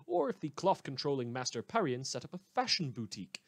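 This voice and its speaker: A man's voice: medium pitch, sing-song, brisk, gravelly, fairly bright tone, no room sound, studio quality, full character voice. A man playing a snide, opinionated news radio host, delivering scripted satirical reports in an articulate, mock-earnest manner. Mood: sardonic.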